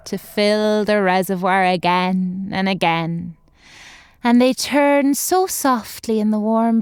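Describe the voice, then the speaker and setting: A sinister grandmother played by a woman tells a horror story in an audio drama. She speaks slowly in a clean, smooth voice. Every phrase is savoured with quiet, gleeful menace. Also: mid-range voice, no echo, clean studio sound, lightly acted. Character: sinister old grandmother telling a grim horror tale.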